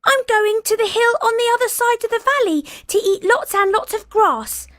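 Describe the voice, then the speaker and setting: A woman voicing the tiniest billy goat in a storybook, with a small, squeaky, pleading voice. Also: normal speed, fairly bright tone.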